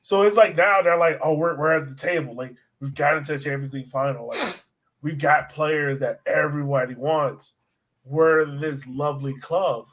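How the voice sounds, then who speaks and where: A man's voice: fairly high pitch, normal speed, a bit bright. A man co-hosting a sports podcast, chatting casually and agreeably as he builds on points. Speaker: podcast co-host. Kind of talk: casual soccer discussion.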